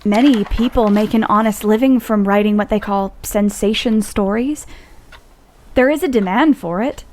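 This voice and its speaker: A woman's voice: mid-range voice, moderate pace, a little mellow, lightly acted. A woman playing an earnest, stubborn young woman writer in a period audio play.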